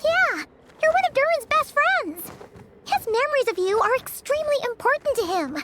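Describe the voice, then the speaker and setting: A woman voicing a tiny, excitable childlike fairy companion in a video game, emphatic and speaking of herself in the third person. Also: moderate pace, background noise. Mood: earnest, insistent. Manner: refers to self in third person, squeaky and emphatic.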